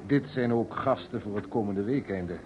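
An actor playing a formal, hospitable sculptor in a vintage Dutch radio play, with measured speech. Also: male voice, fairly low pitch, close to an everyday voice. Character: formal, hospitable Russian sculptor hosting a weekend. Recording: dull, noisy old radio recording.

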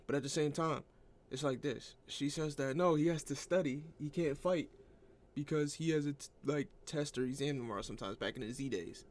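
A man's voice: on the high side, a little fast, darkish tone. A man giving casual opinion commentary as an online anime fan, in an argumentative, exasperated manner.

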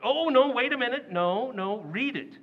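A man's voice: extremely high pitch, moderate pace, narrow, tinny audio. An actor playing a blustering, scheming working-class father in a recreated old-time radio comedy. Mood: bossy. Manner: blustery, excitable.

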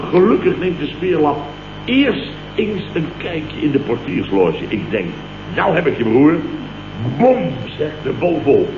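Casting: boastful, exclamatory boss for old radio comedy; echoey, noisy vintage sound. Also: male voice, a little high, muffled recording. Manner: rambling, exclamatory, full of stammers and interjections. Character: blustering, boastful, weary male boss and amateur night watchman. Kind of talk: 1970s Dutch radio comedy acting.

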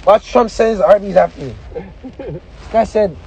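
A young man live-streaming from inside a car, shouting hyped and profane, straining his voice, on a phone held at arm's length. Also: high-pitched, normal speed, gravelly, a little hiss or hum. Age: young adult.

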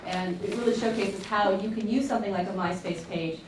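A woman giving a lively, emphatic conference talk with slides in a large lecture hall, heard from a distant camera. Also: mid-range voice, brisk. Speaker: conference presenter. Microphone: far room camera. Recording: echoey hall from far off, faint hiss.

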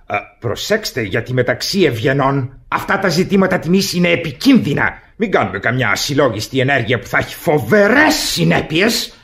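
A man's voice: mid-range voice, sing-song, hardly any pauses, a bit bright. An actor playing a proud, pompous old baron and father-in-law, speaking formally and declaiming in classic radio theatre style. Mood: grave, cautious.

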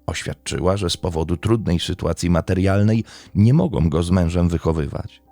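A man reads a scripted true crime story in a measured, literary storytelling manner. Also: sits low, gravelly, warm tone, no echo, faint music behind. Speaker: true crime podcast narrator.

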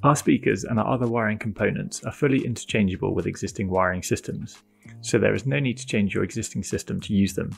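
A man giving a scripted product narration in a measured, explanatory way. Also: lowish voice, creaky, husky, faint music behind.

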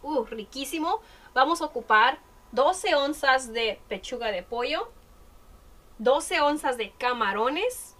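A woman's voice: sits high, expressive, slow, bright, forceful tone. A woman with a Mexican Spanish accent chatting enthusiastically as she cooks in a home kitchen, filmed over the stove. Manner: chatty, enthusiastic about food. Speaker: cooking vlogger. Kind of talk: casual cooking narration. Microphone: camera filming hands at the stove. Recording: kitchen noise. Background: frying and sizzling.

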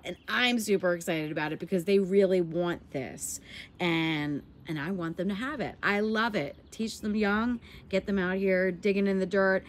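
Stuffy nasal lady in her 40s, low-ish voice, chatty garden vlog outside on her phone. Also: slight background noise. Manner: chatty and enthusiastic, laughs easily. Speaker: garden vlogger. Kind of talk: casual walk-and-talk vlog. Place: outdoors in a backyard garden. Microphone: handheld phone camera.

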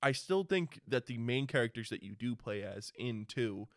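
A man's voice: medium pitch, lively intonation, moderate pace, fairly bright tone, dry recording, clean studio sound. A man co-hosting a casual, opinionated movie discussion in an informal, sweary manner. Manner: informal, profane. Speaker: podcast co-host.

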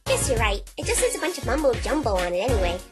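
A woman's voice: a little high, big pitch swings, fast, music in the background. A woman voicing a childlike, scatterbrained, bubbly cartoon girl pony with a perky, chirpy, naive delivery. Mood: curious, innocent.

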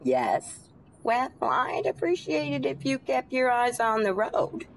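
A woman acting an eccentric, serene taxi passenger in a radio play. She speaks slowly and politely, with a lively lilt and gentle hesitations, over faint background noise. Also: a little high, full character voice, poor audio. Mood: friendly, calm. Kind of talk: old-time radio drama acting.

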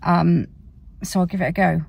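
Low, husky British woman, rambling. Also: steady tone, normal speed, a little mellow, dry recording, far from the mic. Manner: rambling, word-searching, self-correcting. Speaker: family vlogger. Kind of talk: casual chat to camera. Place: inside a parked car.

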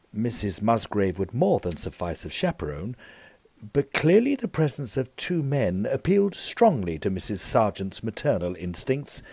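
An actor with a British accent playing a peevish, smitten Edwardian gentleman ghost hunter, narrating formally in an audio drama. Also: lowish voice, slightly rough, no echo, heavily acted. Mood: stiffly formal. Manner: formal, stiffly proper, fussy.

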